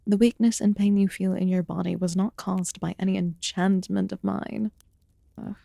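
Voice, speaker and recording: A woman playing an ancient, aloof fae in a fantasy ASMR roleplay, murmuring softly and formally with some whispered phrases. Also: mid-range voice, steady tone, gravelly, dry recording, clean studio sound. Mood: amused, patient. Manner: slow, deliberate, archaic formal wording. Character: ancient aloof female fae recluse, haughty yet quietly caring.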